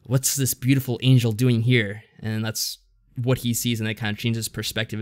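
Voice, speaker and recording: A man speaks in a casual, conversational way at a middle pitch. He feels his way through what he is saying, hesitant and loosely rambling, as a podcast co-host does in an informal discussion. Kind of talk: casual discussion recapping a visual novel.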